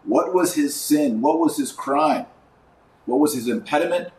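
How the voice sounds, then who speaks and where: A man's voice: fairly high pitch, measured pace, a little mellow, reverberant, distant mic, poor audio. A man hosting a religious commentary podcast, speaking emphatically and indignantly, with rhetorical questions and sarcastic asides.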